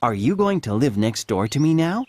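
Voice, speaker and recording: A man's voice: mid-range voice, big pitch swings, normal speed, voice pushed up, lightly acted. A man reading a children's storybook, voicing a friendly gossipy pony. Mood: chatty. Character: chatty fat gray male pony.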